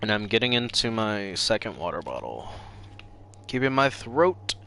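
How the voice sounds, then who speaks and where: A man's voice: fairly low pitch, sing-song, normal speed, pushed and bright, faint music behind. A man chatting casually and a bit ramblingly to viewers while livestreaming a video game. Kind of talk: casual chat to stream viewers.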